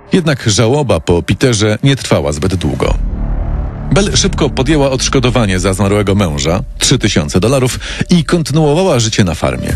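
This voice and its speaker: A man narrating a scripted true-crime story with dramatic storytelling delivery. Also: medium pitch. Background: music.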